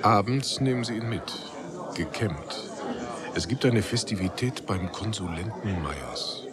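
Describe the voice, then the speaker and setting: A man's voice: on the low side, animated, measured pace, over a noisy crowd. A man narrating a literary radio drama in German, in a scripted storytelling style.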